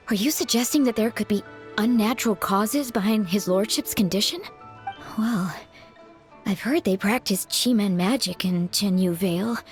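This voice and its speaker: An actress playing a composed, discreet funeral parlor attendant in a fantasy video game, with a measured, formal, professional manner. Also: female voice, a little high, close to an everyday voice. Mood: discreet, concerned. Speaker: voice actor. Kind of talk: scripted video game dialogue.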